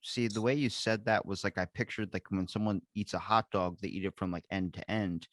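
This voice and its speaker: A man's voice: deep voice, steady tone, a little fast, no room sound, clean studio sound. A man in his twenties hosting a podcast, chatting casually in a rambling, joking way full of fillers. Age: 20s. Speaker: podcast host. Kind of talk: casual chat.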